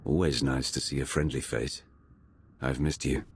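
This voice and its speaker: A sardonic, imperious Sith enforcer from a video game. The voice sits extremely low and has a rough husk to it. He talks bluntly, like someone used to giving orders. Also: steady tone, normal speed, heavily acted. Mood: dry sardonic. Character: commanding, sardonic male Sith lord and enforcer.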